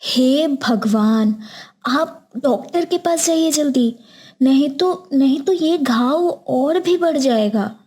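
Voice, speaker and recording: A woman acts out a wrestler's caring wife in a cartoon moral tale. She is high-pitched yet mellow, exclaiming slowly with anxious concern. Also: lively intonation. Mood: worried. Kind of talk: cartoon moral story voice acting.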